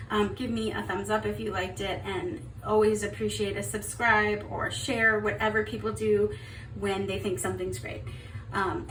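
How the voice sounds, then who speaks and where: A woman's voice: fairly high pitch, fairly bright tone, recorded from a distance, a little hiss or hum. Upbeat woman tarot reader in roomy echo. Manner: chatty, upbeat, rambling with fillers. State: slightly hoarse from overuse. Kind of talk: casual tarot reading to camera.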